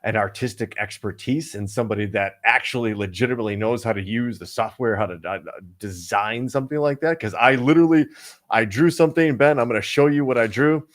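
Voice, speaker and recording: A man's voice: mid-range voice, a little fast. A man co-hosting a casual podcast chat, rambling with many fillers and restarts. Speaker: co-host.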